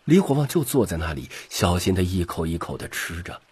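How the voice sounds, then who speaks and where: 一名男性有声书播讲者，以戏剧化的方式照稿讲述故事。. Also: male voice, sits low, very animated, fairly quick, darkish tone, slightly rough, no room sound. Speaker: audiobook narrator. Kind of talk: scripted dramatic story narration.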